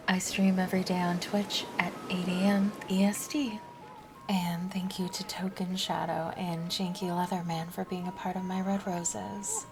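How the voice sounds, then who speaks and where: A woman ASMR performer giving a soft-spoken, often whispery scripted outro. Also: fairly low pitch.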